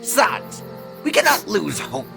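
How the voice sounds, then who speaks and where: A woman's voice: medium pitch, huge pitch swings, slow, gravelly, bright, forceful tone, soft background music, full character voice. A woman voicing a grandiose, upbeat skeleton brother who speaks in a theatrical, formal, self-important way for a comic dub. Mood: reassuring, proud. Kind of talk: amateur comic dub acting.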